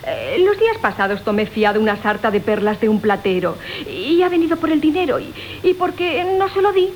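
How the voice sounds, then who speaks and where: Una actriz de acento castellano interpreta a una madre astuta y coqueta que engatusa a sus amantes, en una comedia clásica radiofónica, con tono zalamero y teatral. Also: female voice, fairly high pitch, sing-song, brisk. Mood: wheedling, flattering. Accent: Castilian Spanish. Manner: wheedling, theatrical. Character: wily, coquettish mother conning several lovers for money. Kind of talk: classic Spanish comic radio theatre. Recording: hissy 1980 radio drama recording.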